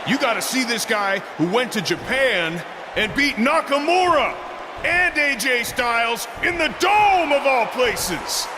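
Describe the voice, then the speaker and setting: A man's voice: fairly high pitch, big pitch swings, measured pace. A man playing a confident veteran star wrestler delivering a theatrical challenge in a wrestling game. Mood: taunting, building. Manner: theatrical, repetitive build-up. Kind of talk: in-ring wrestling promo. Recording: crowd and noise in arena. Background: arena crowd.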